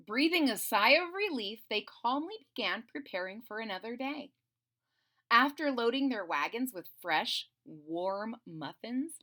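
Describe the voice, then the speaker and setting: A woman reading a children's story aloud in a theatrical, playful way, pausing to explain things simply to young listeners. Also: a little high, bright, forceful tone. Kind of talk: expressive children's read-aloud with simple asides.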